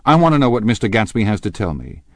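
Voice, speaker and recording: A man voicing a pompous, blustering husband in an audiobook, incredulous, scornful and quick to snap. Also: fairly low pitch, big pitch swings, no echo, clean studio sound, pitched above the performer's own voice. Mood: incredulous, demanding. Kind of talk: audiobook character dialogue.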